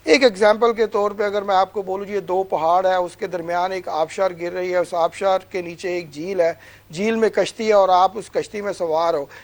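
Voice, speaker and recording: A man's voice: high-pitched, steady tone, normal speed. A man with a Pakistani accent giving conversational interview answers, earnest and motivational, repeating phrases for emphasis. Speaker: businessman guest.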